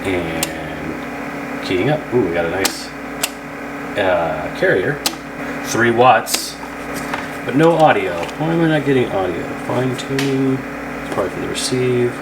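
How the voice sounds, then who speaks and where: A man's voice: mid-range voice, slow, long pauses, very noisy. A man hosting a repair video, narrating casually and thinking aloud as he works in a loose, rambling way. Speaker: host of a radio repair channel.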